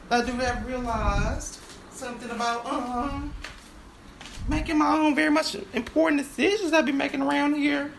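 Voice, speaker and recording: A woman's voice: sits high, creaky, slight background noise, full character voice, rough recording. A woman performing a sassy, boastful divorced wife in an improvised stage monologue, stammering and repeating words as the character rambles. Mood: sassy, defiant. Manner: stammering, repeats words, sighs and laughs often.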